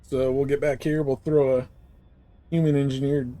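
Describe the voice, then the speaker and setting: A man's voice: mid-range voice, little pitch movement, moderate pace, mellow. A man giving casual live commentary as a game streamer.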